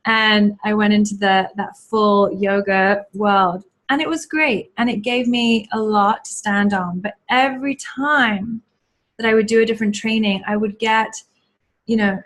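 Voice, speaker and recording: A woman guest on a video call, telling a personal story in an earnest, reflective, spiritual way with many pauses and fillers. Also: medium pitch, a bit bright. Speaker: guest, yoga teacher and school founder. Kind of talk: casual interview storytelling.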